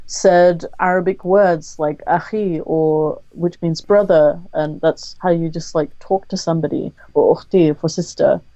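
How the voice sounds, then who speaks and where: A woman guest on a podcast interview, speaking candidly in a thoughtful, measured way with frequent pauses to think. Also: fairly low pitch, fairly even intonation, warm tone, no echo, lo-fi sound.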